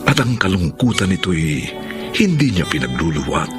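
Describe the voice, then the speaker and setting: A man announcing a radio drama and its credits in a solemn, theatrical, measured style with a gravelly voice. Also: sits low, sing-song, music in the background. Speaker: announcer and narrator. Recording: voice over musical theme.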